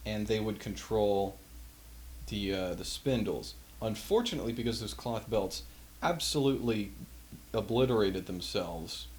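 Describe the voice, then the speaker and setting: Guy with a lowish voice doing an offhand demo of old gear, kinda wry, bit of noise in the background. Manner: wry, self-deprecating, offhand. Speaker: host demonstrating vintage audio equipment. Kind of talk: casual unscripted hands-on demonstration.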